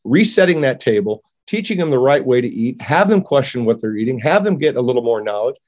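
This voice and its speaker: A man's voice: mid-range voice, sing-song, a little fast, darkish tone. A man hosting an online talk show, effusive and upbeat as he welcomes and agrees with his guest. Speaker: host. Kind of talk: enthusiastic show host.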